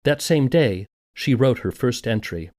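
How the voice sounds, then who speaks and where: A man's voice: mid-range voice, expressive, measured pace, a little mellow, no echo. A man hosting a documentary podcast and reading scripted narration. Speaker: podcast host.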